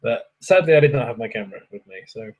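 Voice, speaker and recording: A man's voice: mid-range voice, animated, quick pace, darkish tone, muffled recording. A young man, chatting casually with viewers on a stream, ranting and swearing with frequent laughs. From England.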